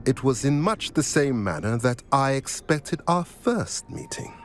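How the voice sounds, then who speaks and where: An actor playing a wise old male centaur who reads the stars, speaking in a measured, cryptic way in a video game. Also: mid-range voice, big pitch swings, a little hiss or hum. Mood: solemn. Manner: slow, measured, solemn and riddling.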